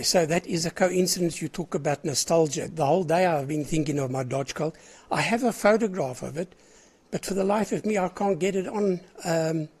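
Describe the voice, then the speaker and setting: Older South African man running a ham radio net, husky cold-roughened voice, a bit high, rambling and clearing his throat. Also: a little mellow. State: hoarse with a cold. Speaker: net controller of an amateur radio net. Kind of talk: casual on-air net chat.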